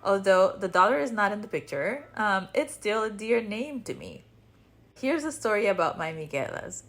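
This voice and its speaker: A woman's voice: mid-range voice, big pitch swings, normal speed, fairly bright tone. A woman with an Italian accent, a native Italian speaker, hosting a podcast with casual personal storytelling in a chatty, self-deprecating manner. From Italy. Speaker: podcast host.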